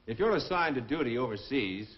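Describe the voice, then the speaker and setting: American male officer-presenter for 1950s propaganda film; emphatic, wry, animated pitch; muffled vintage film audio. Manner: emphatic, deliberate, sardonic delivery. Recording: dull 1950s film soundtrack, music behind.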